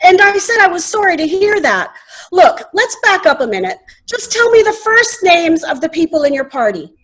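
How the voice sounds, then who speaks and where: Woman acting very high-voiced brisk restaurant hostess. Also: very animated, a bit bright, heavily acted. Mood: exasperated. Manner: brisk, formal customer-service phrasing. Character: brisk, polite but exasperated restaurant hostess. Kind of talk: comic readers' theater sketch.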